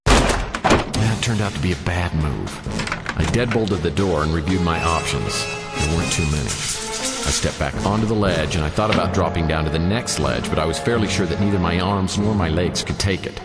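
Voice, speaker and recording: An American man playing a down-on-his-luck private detective in a noir radio drama, narrating with deadpan, self-mocking dryness. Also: low-pitched, moderate pace, long reverb tail. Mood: wry, brooding. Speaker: lead character and narrator. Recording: studio radio drama over music. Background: prominent music.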